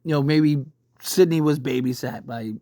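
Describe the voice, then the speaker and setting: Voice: mid-range voice, moderate pace, warm tone, dry recording, studio quality. A video host delivering a casual, opinionated rant in a conversational, emphatic way, often checking in with rhetorical questions. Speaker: YouTube host giving film commentary.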